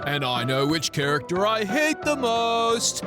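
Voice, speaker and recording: A man's voice: high voice, very animated, unhurried. A man hosting a podcast with playful, tongue-in-cheek, theatrical scripted announcing. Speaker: podcast host. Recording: loud music under the voice. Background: loud music.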